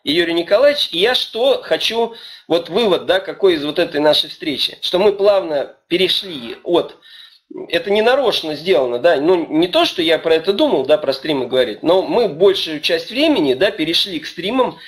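A man's voice: on the high side, expressive, normal speed. A man hosting a webinar over a video call, summing up the discussion in a hesitant way, with frequent "da" and restarts. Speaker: webinar host and moderator. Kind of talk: moderating a webinar.

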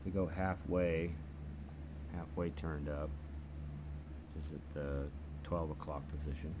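A man's voice: a bass voice, little pitch movement, slow pace. A man giving a casual, informal product demonstration as a hobbyist reviewer, speaking somewhat hesitantly. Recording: noisy home video recording. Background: clear background noise.

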